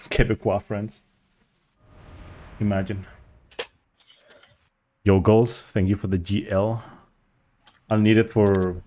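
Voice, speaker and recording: A man's voice: fairly low pitch, darkish tone. A man streaming a speedrun, chatting casually and rambling as he searches for words. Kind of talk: casual chat while playing.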